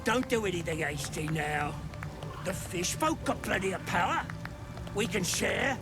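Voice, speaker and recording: Slow, scheming fey villain. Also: male voice, sits high, lively intonation, over music. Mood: wheedling. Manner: wheedling, brash, slangy. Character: crude, scheming, bossy little redcap fey villain. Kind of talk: fantasy game character acting.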